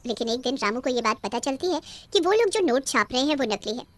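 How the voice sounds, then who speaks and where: A woman's voice: high voice, expressive, quick pace. A woman narrating a scripted cartoon horror story as the storyteller.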